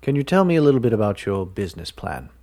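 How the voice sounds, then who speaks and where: A man voicing a large, weary male businessman who speaks in a dry, low-key, reluctant way. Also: big pitch swings, quick pace, a little mellow.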